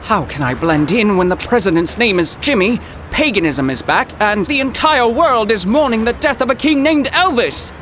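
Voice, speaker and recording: Man playing a fussy, high-pitched, excitable professor. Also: moderate pace, slight background noise. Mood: panicked. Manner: pompous, formal, old-fashioned diction. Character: fussy, excitable, easily flustered male professor from the 1930s. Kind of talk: period audio drama comedy acting.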